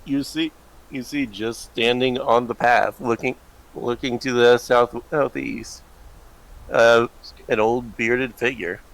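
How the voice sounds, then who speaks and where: A man narrating as game master of a tabletop game, in an unhurried, slightly halting way. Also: mid-range voice, steady tone, vocal fry, rough recording.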